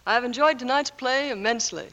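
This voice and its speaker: A woman's voice: on the high side, quick pace, very noisy. An American woman, a Navy officer, speaking formally and with poise as a guest in a scripted 1940s radio interview on a dull old recording. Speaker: guest, Navy WAVES lieutenant.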